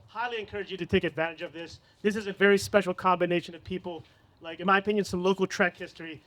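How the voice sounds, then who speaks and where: A man's voice: high voice, fairly even intonation, quick pace, a bit bright. A man hosting a live podcast panel at a cafe event, upbeat and hyping up his guests. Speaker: podcast host.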